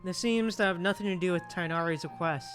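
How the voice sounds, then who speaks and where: A woman's voice: lowish voice, quick pace, music in the background. A woman streaming a video game, reading dialogue aloud and reacting in a casual way.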